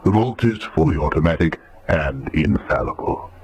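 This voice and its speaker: An actor playing a polite, formal butler in a radio drama. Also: male voice, extremely low pitch, animated, normal speed. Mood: deferential.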